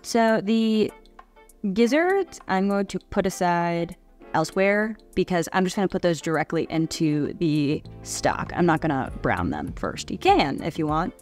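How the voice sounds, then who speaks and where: A woman's voice: lowish voice, very animated, unhurried, a little mellow, soft background music. A young adult woman chatting casually as a cooking vlogger, with a wry, self-deprecating manner. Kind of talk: casual chat while cooking. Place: home kitchen, indoors.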